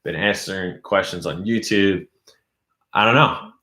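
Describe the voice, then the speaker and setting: A man's voice: low-pitched, unhurried, pushed and bright. Casual young man, roomy live stream. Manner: loose, rambling, reads chat aloud. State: losing his voice. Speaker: YouTube host.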